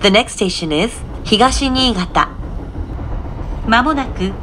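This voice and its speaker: A woman announcer reading formal, measured, scripted station announcements over a train's speakers. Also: a little high, animated, a bit bright. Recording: train announcement with clear rail noise. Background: train running noise.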